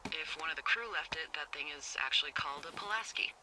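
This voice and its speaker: An actress playing a wry, watchful fire lookout in a video game, talking conversationally and sardonically over a two-way radio. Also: female voice, deep voice, fast, pushed and bright, a little hiss or hum, low-quality recording. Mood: uneasy. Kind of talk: naturalistic video game dialogue.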